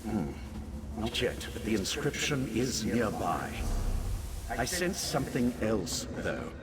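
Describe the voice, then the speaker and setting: Slow-paced, animated scholarly mage. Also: male voice, lowish voice, heavy background noise. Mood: searching, uneasy. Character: male scholarly occult researcher, curious, peeved, dryly polite. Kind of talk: video game character dialogue.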